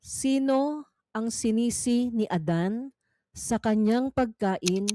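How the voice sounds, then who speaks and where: A Filipino woman narrating a scripted read-along Bible quiz in a measured, deliberate way with pauses between phrases. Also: fairly high pitch, mellow, no room sound, clean studio sound.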